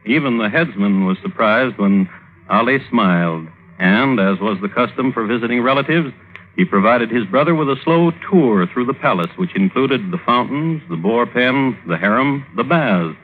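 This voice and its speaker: An actor playing a wry storyteller, narrating in a measured, conversational vintage radio style. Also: male voice, medium pitch, lively intonation, close to an everyday voice. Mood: wry storytelling. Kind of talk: 1950s radio scripted narration. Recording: muffled, noisy old radio recording.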